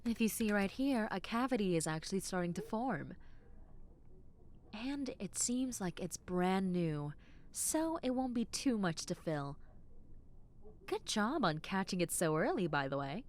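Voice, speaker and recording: A young adult woman voicing a kind, caring dentist in an audio roleplay, speaking softly and reassuringly, often dropping into a whisper, with a playful, teasing edge. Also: medium pitch, big pitch swings, unhurried. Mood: soothing. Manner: soft, gentle and reassuring, slipping into whispers; playful, with frequent soft giggles. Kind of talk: ASMR roleplay.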